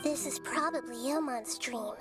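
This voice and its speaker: A high, soft, girlish voice of a wise little goddess, played by a woman. She explains things calmly and thoughtfully, with prominent music behind her. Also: natural voice. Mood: composed. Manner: measured, gently explanatory. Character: small wise child goddess, calm and thoughtful. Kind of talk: anime game voice acting.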